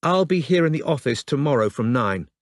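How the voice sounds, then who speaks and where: A man's voice: fairly high pitch, animated, fairly quick, no room sound, studio quality, pitched above the performer's own voice, natural voice. A man voicing a young woman who poses as a lawyer, speaking carefully, politely and professionally on the phone.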